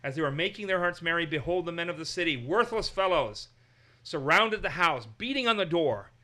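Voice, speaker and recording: A man's voice: fairly high pitch, a little fast. A man from Massachusetts, teaching a conversational Bible study and animatedly acting out the quotes. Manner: animated, acts out quotes in raised voices.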